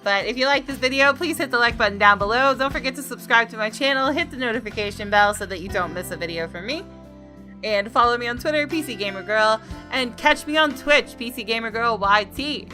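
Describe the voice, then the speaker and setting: A woman's voice: on the high side, a little fast, pushed and bright. A woman gaming host doing a let's play, reading story narration aloud and commenting to viewers. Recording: faint music behind. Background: faint game music.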